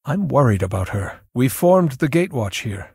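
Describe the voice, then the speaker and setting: A man's voice: medium pitch, big pitch swings, moderate pace, gravelly, dark tone, breathy edge, no room sound, studio quality, exaggerated voice. A young man playing a thoughtful, cerebral young mage in a video game, earnest and self-doubting, with an introspective, wry delivery. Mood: pensive. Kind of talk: video game character voice lines.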